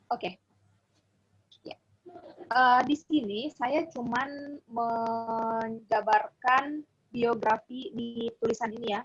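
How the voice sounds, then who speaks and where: Woman, religious teacher, husky slightly high voice, conversational explaining, checks for agreement, dull lo-fi audio. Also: a little hiss or hum. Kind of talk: informal explanatory online lesson.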